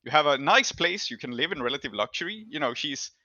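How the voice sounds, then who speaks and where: A man with a bright, forward voice narrates a tabletop game as game master, improvising scenes as he goes. The sound is dry and close, a little dulled in the recording. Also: fairly high pitch, lively intonation, fast talker, no echo, muffled recording.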